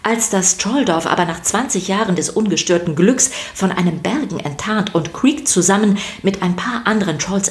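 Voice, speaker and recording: Low-pitched woman narrating for children. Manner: playful, addresses listeners directly. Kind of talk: scripted storytelling for a children's podcast.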